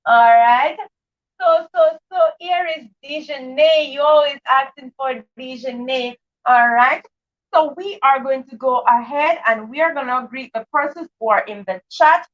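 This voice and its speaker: A woman's voice: high voice, measured pace. A woman enthusiastically hosts a children's livestream, greeting viewers and often repeating her phrases. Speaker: host of children's live read-aloud show. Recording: dull, distant livestream microphone.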